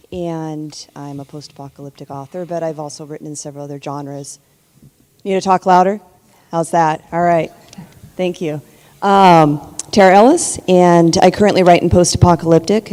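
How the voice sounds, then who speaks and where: Low, mellow-voiced woman on a panel in a hall. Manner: earnest, with many ums. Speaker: panelist author. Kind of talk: conference panel talk. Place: conference panel room. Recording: echoey hall with long reverb.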